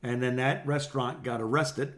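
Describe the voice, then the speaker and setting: A man's voice: mid-range voice, fairly even intonation, normal speed. Middle-aged man, rambling casual chat. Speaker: podcast co-host. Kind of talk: casual chat on a phone call podcast.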